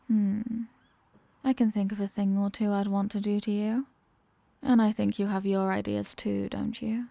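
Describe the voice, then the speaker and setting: Creaky, seductive villainess acted by a woman, close-miked. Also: medium pitch, dark tone, no echo. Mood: sultry, playful. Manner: teasing, sultry, often dropping into breathy whispers. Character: seductive, dominant female villain toying with her hostage. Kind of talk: intimate ASMR audio roleplay. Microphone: close microphone in a dry space.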